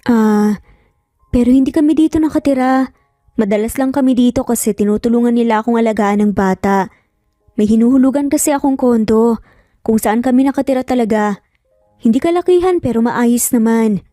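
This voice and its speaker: A woman's voice: fairly high pitch, a little fast, dry recording, studio quality, lightly acted. A woman with a Filipino accent voicing a meek, hesitant young mother in a narrated story. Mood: subdued. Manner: subdued, hesitant. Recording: soft music under the voice.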